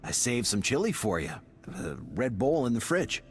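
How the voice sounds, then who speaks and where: An actor playing an easygoing, upbeat squad member with a dry, casual manner in game cutscene dialogue. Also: male voice, medium pitch, very animated, moderate pace, natural voice. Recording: music under the voice.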